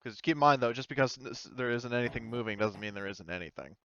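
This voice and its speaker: A man running a tabletop game as game master, narrating casually and hesitantly as he thinks out loud. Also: medium pitch, fast. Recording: slightly dull, dry close recording.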